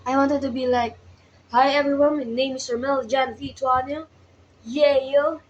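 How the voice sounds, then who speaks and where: A woman's voice: sits high, fairly even intonation, normal speed, dull audio, slight background noise. A young woman in her twenties with a Filipino accent, talking to herself in a casual vlog to practice English, hesitant and self-conscious, sleepy. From Philippines. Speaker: vlogger. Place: small workplace counter, indoors. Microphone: phone held nearby.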